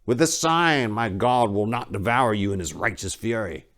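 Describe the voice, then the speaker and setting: A man voicing a leering, menacing high priest of a dark god in a dark storybook reading, with a mocking, theatrical, drawn-out delivery. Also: lively intonation. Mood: gloating.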